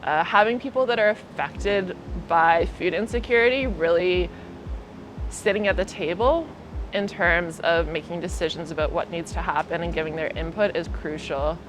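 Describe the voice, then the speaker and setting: Lower-voiced woman making a measured case. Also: over music. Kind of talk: documentary interview, advocacy.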